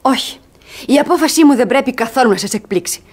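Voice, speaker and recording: A spirited young heroine of a classic radio farce. Her high voice moves in wide, animated sweeps. She is cunning and ardent, declaiming with theatrical emphasis. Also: husky. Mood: ardent declaration. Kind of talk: Greek radio theatre comedy acting.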